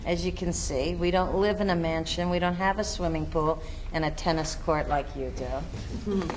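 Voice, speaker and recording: A sharp-tongued mother, read by a woman who scolds with dry sarcasm. Her voice sits a bit low. Room noise hisses behind it. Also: fairly even intonation, moderate pace, lightly acted. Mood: sarcastic. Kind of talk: script table read. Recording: noisy room recording.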